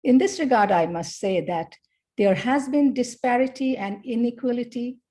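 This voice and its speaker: A middle-aged woman from Bangladesh giving a conference talk, measured and pausing between phrases. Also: a little high, mellow. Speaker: academic speaker.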